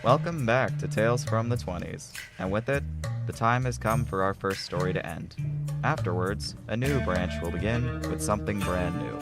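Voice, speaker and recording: Low-pitched man, formal storyteller. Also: normal speed, loud music behind the voice. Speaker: host and narrator. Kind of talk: scripted dramatic narration.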